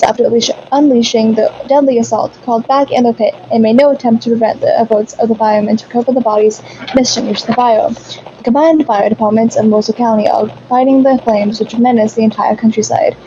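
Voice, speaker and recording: A woman playing an urgent news reporter in a radio play, announcing formally and gravely. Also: a little high, mellow, lo-fi sound, lightly acted. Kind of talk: radio drama newscast acting. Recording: faint background noise.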